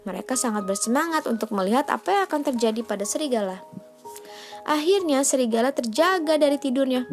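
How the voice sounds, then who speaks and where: A woman's voice: high voice, animated, fast talker, faint music behind. A woman storyteller narrating a children's fairy tale.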